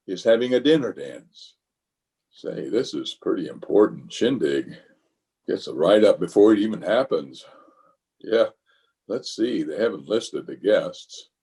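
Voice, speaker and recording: A man's voice: fairly low pitch, a little mellow. A man playing a pompous middle-aged office boss in old-time radio comedy, stammering and hedging as he blusters. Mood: self-important. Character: flustered, evasive, pompous middle-aged male water department boss.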